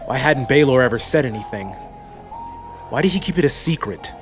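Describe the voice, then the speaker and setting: A man narrates a fantasy audiobook in a voice of average pitch. It is scripted storytelling, set over clearly audible music. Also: animated, a little fast.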